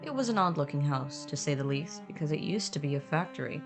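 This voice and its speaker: A woman with a low-pitched voice narrates an atmospheric tale as a co-narrator. Her delivery is scripted storytelling, and music plays clearly behind her. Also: expressive, quick pace.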